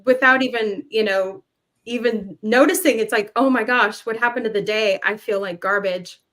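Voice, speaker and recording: A woman speaking earnestly as a panelist in an online discussion, pausing to stress key words. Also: a little high, a bit bright.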